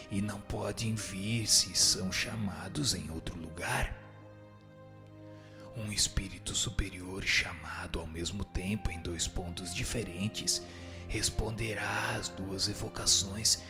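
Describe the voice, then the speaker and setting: A man voicing a solemn spirit who teaches in a measured, declamatory, didactic way in a radio drama. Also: low voice, creaky. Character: solemn male spirit answering questions and dictating teachings. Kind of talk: dramatized reading of a spiritist text.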